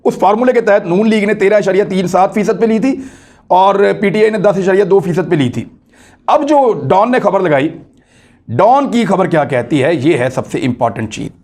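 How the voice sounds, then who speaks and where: A man's voice: high voice, a little mellow. A man with a Pakistani accent giving explanatory news commentary in an emphatic way, repeating key phrases and asking rhetorical questions. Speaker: political commentator hosting own online video.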